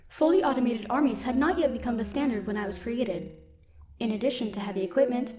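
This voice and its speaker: A woman playing a stoic, dutiful military robot in an audio roleplay, speaking in a formal, measured, protective way. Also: a little high, close to an everyday voice. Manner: formal, measured, literal wording. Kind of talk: scripted audio roleplay, speaking softly to one listener.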